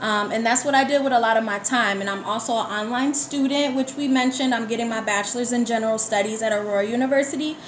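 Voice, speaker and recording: A woman from the United States speaks as an interview guest in a fairly high voice. Her delivery is candid and conversational, like casual personal storytelling. The recording is roomy and echoey, with some light noise behind her. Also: quick pace, few pauses. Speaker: guest poet. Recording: echoey room with background noise.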